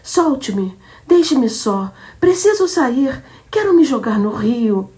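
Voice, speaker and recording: A woman's voice: high voice, sing-song, takes it slowly, mellow, voice pushed up, exaggerated voice. Woman voicing a jealous, frantic young woman. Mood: hysterical. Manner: menacing then frantic and sobbing. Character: jealous young woman, armed, turning hysterical.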